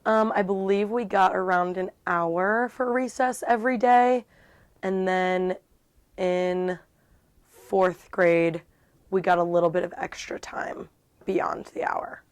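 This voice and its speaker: A woman's voice: medium pitch, fairly even intonation, takes it slowly, smooth. A young woman from Ohio, a university student, recalling school in a casual, slightly hesitant way. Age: young adult.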